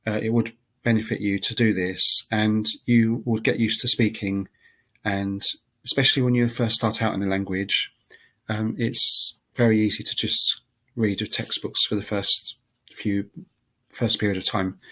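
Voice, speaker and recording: A man talking casually to camera as a language-learning vlogger, chatty and relaxed, with frequent ums and restarts as he works through numbered points. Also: lowish voice.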